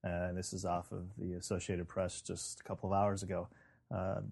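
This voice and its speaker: Male co-host, deep husky rasp, jokey banter. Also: little pitch movement, moderate pace, darkish tone. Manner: teasing, jokey. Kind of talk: casual chat.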